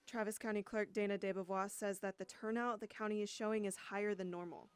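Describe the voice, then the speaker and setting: A woman reading a scripted news voice-over in a brisk, matter-of-fact way. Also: medium pitch, steady tone, smooth. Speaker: student news reporter.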